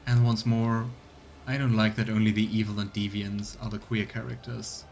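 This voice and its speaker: A man's voice: fairly low pitch, little pitch movement, normal speed, creaky, darkish tone, a little hiss or hum. A man giving casual live game commentary, musing and grumbling as he goes. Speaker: let's play streamer. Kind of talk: casual live game commentary, sometimes reading game text aloud.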